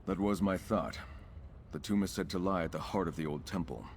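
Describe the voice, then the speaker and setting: An actor playing a solemn, resolute male ally in a fantasy video game, speaking in an earnest, formal and measured way. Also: deep voice, a little mellow, slight background noise. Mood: grave, earnest. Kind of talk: scripted video game dialogue.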